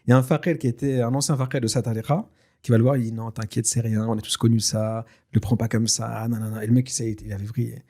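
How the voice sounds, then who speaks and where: A man whose first language is Tashelhit, telling a personal story in a casual, lively way with many fillers and restarts. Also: mid-range voice, fast talker. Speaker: guest telling his story. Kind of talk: casual spoken testimony.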